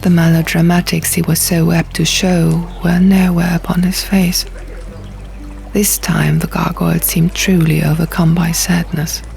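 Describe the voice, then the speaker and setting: Creaky-voiced woman narrating a story. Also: fairly low pitch, level tone, a little slow, darkish tone. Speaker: audiobook reader. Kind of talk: dramatic first-person scripted narration. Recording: music behind the voice.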